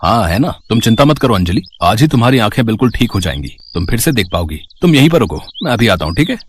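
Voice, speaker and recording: A man voicing a callous, scheming young husband with a husky voice in a moral story. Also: lowish voice, sing-song, fast, a little hiss or hum. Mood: falsely soothing.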